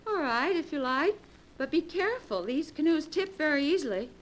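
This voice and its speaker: A woman's voice: sits high, lively intonation, normal speed. An actress playing a fearful, helpless young woman in theatrical 1940s radio style. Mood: wary. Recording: echoey, very noisy old radio recording.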